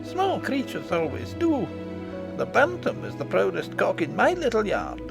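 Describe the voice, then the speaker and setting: A man's voice: high voice, huge pitch swings, unhurried, creaky, over music, voice pushed up, full character voice. A man voicing a homely, worried mother, a miner's wife in a storybook. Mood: worried, disapproving.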